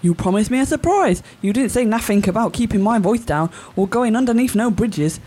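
An actress playing a sharp-tongued cockney woman from wartime London who has become a spy, sarcastic and loud. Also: on the high side, animated, brisk. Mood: exasperated.

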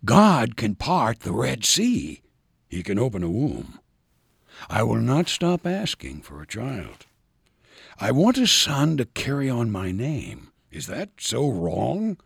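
An aged, devout priest in a Bible radio drama. He is stubborn yet tender, with a creaky, gravelly voice that rises and falls dramatically. He speaks slowly and deliberately, over faint music. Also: mid-range voice, wildly swooping pitch, no echo, clean studio sound, exaggerated voice. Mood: stubborn, impassioned.

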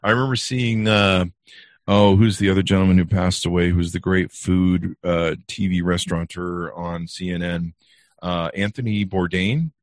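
A man's voice: low-pitched, fairly even intonation, moderate pace, no room sound, studio quality. A man hosting a podcast, talking casually and rambling with many fillers and restarts. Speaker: podcast host. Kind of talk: casual interview chat.

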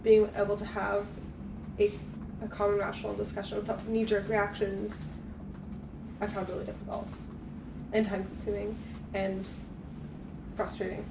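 A woman's voice: medium pitch, monotone, moderate pace, gravelly, pauses often. A woman making hesitant personal remarks as a participant in a group discussion. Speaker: meeting participant starting a business. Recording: distant mic, echoey noisy room.

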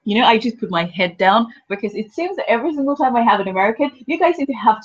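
A woman hosting a casual interview podcast, chatty, rambling and self-deprecating, her voice husky from a cold. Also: fairly high pitch, expressive, fast talker, muffled recording. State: has a cold. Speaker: podcast host.

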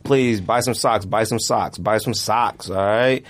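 A man's voice: lowish voice, unhurried. A man hosting a casual podcast in a studio, talking in loose slang and repeating himself for emphasis. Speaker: podcast host. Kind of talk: casual sneaker podcast banter.

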